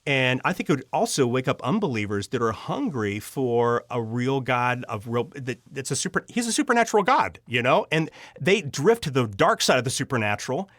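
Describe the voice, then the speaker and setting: A man's voice: mid-range voice, big pitch swings, a little fast, few pauses, a bit bright, dry recording, studio quality. A man speaking as an interview guest, sharing personal testimony casually and earnestly, with emphatic repetition and frequent restarted sentences.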